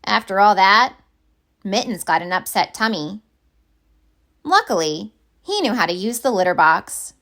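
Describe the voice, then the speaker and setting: Expressive, slow-paced woman reading a storybook. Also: mid-range voice. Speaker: story reader. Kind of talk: children's picture book read-aloud.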